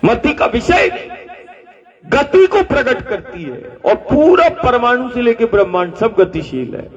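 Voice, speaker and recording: Male preacher, slow and rhetorical, repeats key lines and prompts the crowd; distant, dull live recording with long reverb. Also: high-pitched, a little mellow. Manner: rhetorical, repeating phrases, asking the crowd to repeat after him. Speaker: spiritual teacher. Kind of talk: devotional discourse to a gathering.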